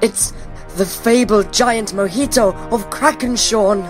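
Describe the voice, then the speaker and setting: A man's voice: high-pitched, slow pace, music in the background. A man playing an earnest, cautious adventurer, speaking in a grave, formal mock-epic manner in a comedic fantasy radio play. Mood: disapproving. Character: solemn, cautious, earnest quest companion trained by monks.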